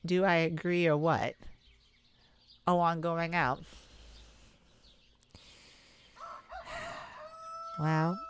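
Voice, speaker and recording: A woman playing a kind, anxious mage in an ASMR audio roleplay, talking hesitantly and intimately to a childhood friend. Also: low voice. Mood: reluctant, playful. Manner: hesitant, stammering, soft-hearted worrier.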